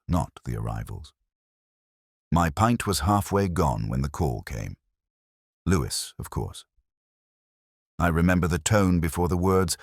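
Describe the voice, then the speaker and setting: Older male detective narrator for audio mystery, acted by a man: extremely low, melancholy, wry and measured, with expressive rise and fall. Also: no room sound, studio quality, full character voice. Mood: brooding, wry. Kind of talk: scripted first-person audio mystery narration.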